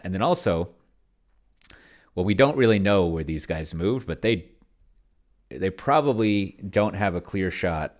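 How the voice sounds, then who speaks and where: Male game commentator, notably low-pitched, casual and rambling, talking himself through each decision. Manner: rambling, musing to himself as he works. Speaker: solo tabletop role-player and host. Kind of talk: casual think-aloud gameplay commentary.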